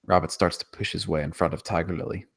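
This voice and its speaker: A man with a very low voice narrates a screenplay. He reads out scene descriptions and stage directions as scripted narration. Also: steady tone, fast. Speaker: narrator of screenplay table read.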